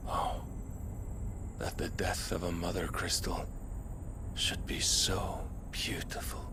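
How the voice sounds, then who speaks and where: An actor playing a coldly philosophical, fanatical villain in a fantasy game, with a solemn, measured and grandiloquent delivery. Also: male voice, low-pitched, flat delivery, gravelly, very noisy, heavily acted. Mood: hushed awe. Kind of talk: cinematic fantasy game acting.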